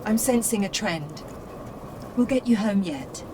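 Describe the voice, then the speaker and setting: A woman's voice: medium pitch, lively intonation, unhurried, noisy background, natural voice. A woman voicing a loyal, composed AI assistant in a video game, polite and precise, frankly protective of the one she serves. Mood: polite concern. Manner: polite, precise, addresses boss as sir.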